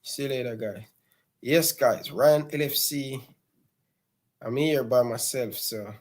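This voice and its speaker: A man's voice: medium pitch, slow pace. A man from Jamaica, co-hosting a casual football chat and often repeating words as he starts his sentences. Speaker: co-host.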